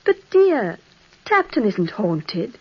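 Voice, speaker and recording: A woman's voice: medium pitch, big pitch swings, takes it slowly, breathy edge, heavily acted. A woman playing a fond, incredulous young upper-class English lady in an old-time radio drama, gushing and exclamatory. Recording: muffled vintage radio recording.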